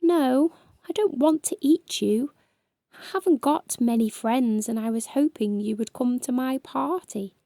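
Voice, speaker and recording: A woman voicing a big, gentle, shy male troll-ogre in a children's storybook, friendly and plaintive. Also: high voice, measured pace, creaky, dry recording. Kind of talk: children's storybook character reading.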